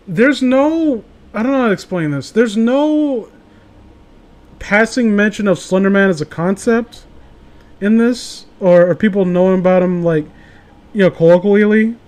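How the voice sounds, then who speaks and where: A man's voice: high voice, animated, unhurried, long pauses, a little mellow. A man hosting a casual show, reading amateur horror stories aloud and breaking off into rambling, opinionated, profane commentary.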